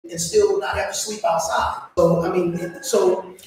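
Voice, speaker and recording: A man's voice: high-pitched, fairly even intonation, unhurried, a little mellow. A man in his late forties co-hosting a casual chat, joking and conversational. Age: 40s. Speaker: co-host. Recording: echoey room, far from mic.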